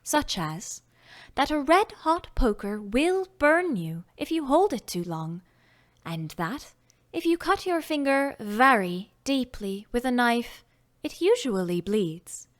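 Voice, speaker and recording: A woman reading a children's classic aloud as an audiobook, gentle and expressive, sometimes dropping to a whisper. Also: fairly high pitch, slow pace. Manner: gentle, expressive storytelling, drops to whispers at times. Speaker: audiobook narrator. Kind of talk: audiobook narration.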